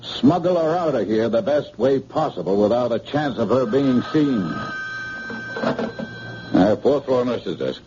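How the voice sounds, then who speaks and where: An actor playing a calm, brisk hospital doctor in a 1950s radio drama. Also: male voice, medium pitch, background noise, lightly acted. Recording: dull lo-fi old radio broadcast.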